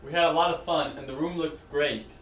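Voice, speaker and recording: A man's voice: fairly high pitch, steady tone, fairly quick, fairly bright tone, lightly acted. A young man playing a chatty college roommate. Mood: friendly. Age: young adult. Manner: friendly, casual and a bit nosy. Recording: echoey room with faint background noise.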